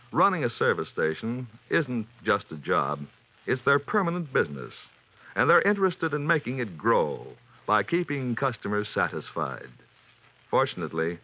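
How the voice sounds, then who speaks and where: A man announcing and pitching for a sponsor in a polished, emphatic 1940s radio style. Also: sharp tone. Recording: dull vintage radio recording.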